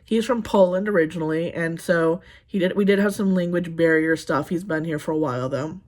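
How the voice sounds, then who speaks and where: Woman, 30s, lowish voice, casual makeup-tutorial vlogger, dry sarcastic rambler. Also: a little fast, a little mellow. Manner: sarcastic, exasperated storytelling; rambling with frequent ums. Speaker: beauty vlogger. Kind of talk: casual get-ready-with-me chat.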